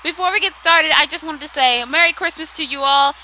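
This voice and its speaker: A woman's voice: high-pitched, fairly quick, bright, forceful tone, slight background noise, poor audio. A woman hosting a casual question-and-answer voice-over, with a blunt, sassy manner that flips between sweet and glum. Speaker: YouTuber host answering viewer questions.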